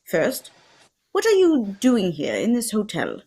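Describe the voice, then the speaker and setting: A woman playing a fussy, courtly Belgian male detective in a radio mystery, speaking formally and deliberately, with theatrical precision. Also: mid-range voice, wildly swooping pitch, mellow, breathy edge, full character voice. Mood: probing, stern. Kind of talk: old-time radio mystery script reading.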